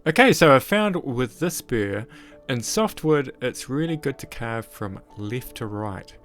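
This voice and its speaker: A man with a croaky voice casually narrating a woodcarving tutorial in voice-over, rambling with lots of "sort of, like". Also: mid-range voice, animated, unhurried, fairly bright tone, soft background music. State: croaky voice after illness. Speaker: woodcarving channel host.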